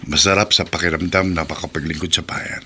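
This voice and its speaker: A man's voice: low-pitched, fairly even intonation, a little fast, pushed and bright, slight background noise. An actor playing a troubled soldier son in a radio drama, earnest and respectful as he speaks of his doubts. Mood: reflective, troubled. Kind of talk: Tagalog radio drama acting.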